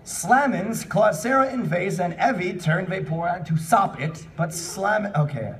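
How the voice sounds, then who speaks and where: A man's voice: high-pitched, animated, measured pace, slight background noise. A man hosting a live comedy panel, reading fan fiction aloud with amused, incredulous commentary. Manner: amused, incredulous at what is read. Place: live convention panel room. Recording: distant mic in a room with audience.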